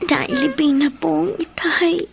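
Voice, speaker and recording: A worried, caring young daughter in a radio drama. Her voice is extremely high and pleading, over slight background ambience. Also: steady tone, measured pace, vocal fry, exaggerated voice. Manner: plaintive, caring. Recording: faint background noise, ambience.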